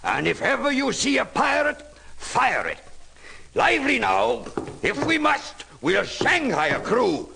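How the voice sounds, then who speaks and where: Gravelly, swaggering. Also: male voice, extremely high pitch, wildly swooping pitch, background noise, full character voice. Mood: jovial, sly. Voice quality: gravelly growl. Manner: drawn-out, swaggering delivery with sailor slang. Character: sly, hearty one-legged pirate ship's cook. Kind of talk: theatrical storybook record acting. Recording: loud music behind the voice.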